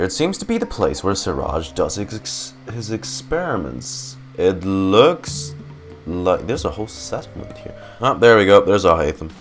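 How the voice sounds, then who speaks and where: A man giving casual, slangy game reaction commentary, thinking aloud as he plays. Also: lowish voice. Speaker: gamer streamer reacting to a story quest.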